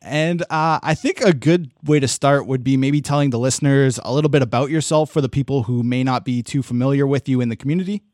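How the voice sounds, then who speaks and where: A man hosts a radio interview in a mid-pitched, ordinary voice. He is recorded close and dry, with no room sound. He asks his questions haltingly, leaning on uh and restarts. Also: a little mellow, no room sound. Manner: hesitant, with uh and repeated words.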